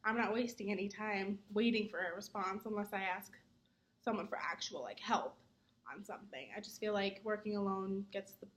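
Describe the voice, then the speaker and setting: A young adult woman, a student, giving earnest answers in a mock interview, often pausing on "um". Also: medium pitch. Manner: earnest, many "um" fillers; says she talks fast when nervous.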